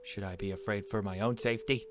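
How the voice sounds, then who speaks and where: Wary young woman in a visual novel, read by a man, blunt questioning, lowish pitch, faint music. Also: steady tone, quick pace, lightly acted. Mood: wary, frustrated.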